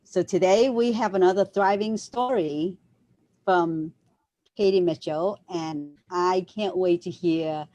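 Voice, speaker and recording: A woman's voice: fairly low pitch, takes it slowly, warm tone, lo-fi sound. A woman in her fifties with a Vietnamese accent, hosting a casual video call chat and laughing often. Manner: laughs often, cheerful and chatty. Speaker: show host. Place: home office.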